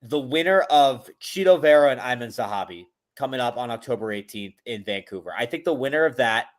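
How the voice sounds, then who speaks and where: A man's voice: medium pitch, animated, brisk. Rambling male podcast host. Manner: rambling, many restarts and fillers. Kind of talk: casual podcast chat.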